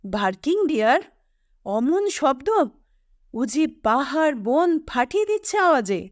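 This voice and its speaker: A woman voicing an excitable, easily amazed young male engineer in an audio story, exclaiming with wonder. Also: high voice, takes it slowly, no echo, clean studio sound, pitched above the performer's own voice, exaggerated voice. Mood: startled amazement.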